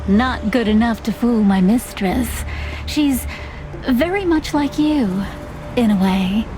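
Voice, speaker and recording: An actress playing a poised, secretive young servant woman in a noir detective game, speaking in a measured, coy and evasive way. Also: on the high side, animated, over music, poor audio. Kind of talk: film-noir game voice acting.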